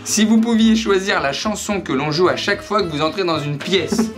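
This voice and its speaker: Man, host, casual comedy chat, mock-formal questioning, somewhat high voice, faint music behind. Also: moderate pace. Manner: playful, mock-formal interviewer.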